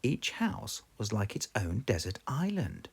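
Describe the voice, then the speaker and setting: British children's read-aloud narrator: slow, gravelly, widely swinging intonation. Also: medium pitch, very animated. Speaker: storybook narrator.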